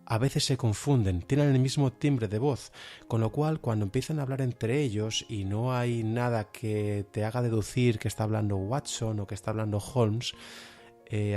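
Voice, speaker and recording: A man's voice: mid-range voice, mellow. A man with an accent from Spain, presenting an informal podcast review in a chatty, hesitant, self-deprecating way. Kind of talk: informal review of audio dramas.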